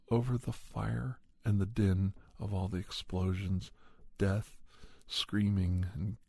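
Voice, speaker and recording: A man narrating a scripted horror story in a soft, gravelly ASMR style, deliberately and dramatically, in short phrases broken by pauses. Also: lowish voice, dry recording. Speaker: storyteller and podcast host.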